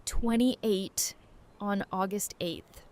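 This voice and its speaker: A woman's voice: medium pitch, very slow, a little mellow. A woman playing a sassy, casual survivor in her twenties in an audio drama, playful and blunt.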